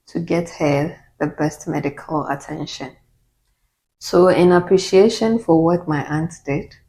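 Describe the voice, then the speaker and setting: A woman with a Ghanaian accent and a notably low voice answers viewer questions to camera. She tells her stories calmly and steadily, in a relaxed vlog style. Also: low-quality recording. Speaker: YouTuber. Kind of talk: casual get-to-know-me Q&A.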